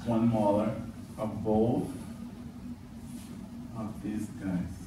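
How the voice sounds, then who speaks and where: A young Brazilian-accented man teaches a class, speaking slowly and haltingly with plenty of fillers. His lowish voice is caught by a camera at the back of a very echoey classroom. Steady room noise runs underneath. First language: Portuguese. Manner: hesitant, many fillers and restarts. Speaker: student teaching a practice class. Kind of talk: classroom lesson. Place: classroom with whiteboard. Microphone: far camera at back of room. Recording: echoey room from far off, noisy.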